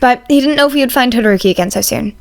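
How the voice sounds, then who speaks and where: A woman's voice: fairly high pitch, rapid-fire, gravelly. A woman reading fan fiction aloud as scripted story narration.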